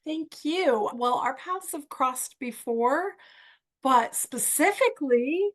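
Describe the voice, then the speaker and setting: A woman hosting a podcast interview, effusive and encouraging, with a slow, emphatic delivery. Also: high-pitched, animated. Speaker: podcast host and mentor.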